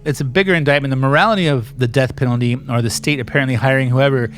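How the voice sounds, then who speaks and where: A man hosts a loose, joking conversation with his elderly mother, laughing often and slipping into goofy voices. Faint music runs quietly behind him. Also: mid-range voice, rapid-fire. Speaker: host interviewing his mother. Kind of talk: casual chat.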